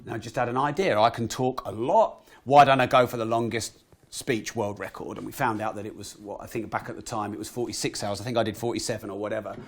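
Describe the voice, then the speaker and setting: A man in his thirties with a croaky voice, a podcast guest telling animated stories and swearing casually. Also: fairly low pitch, fast. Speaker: guest entrepreneur. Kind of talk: podcast interview storytelling.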